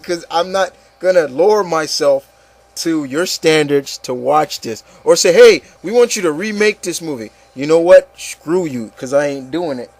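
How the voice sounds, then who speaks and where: A man's voice: fairly high pitch, no room sound. A man hosting an online show, talking casually and emphatically in a group discussion, often repeating himself for stress. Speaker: host of an online opinion show.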